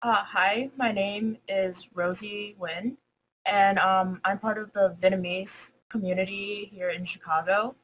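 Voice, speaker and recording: A woman's voice: mid-range voice, steady tone, a little slow, a bit bright, a little hiss or hum, rough recording. A teenage girl from the United States presenting nervously in a video conference, with frequent fillers like "um" and "like". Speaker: student speaker.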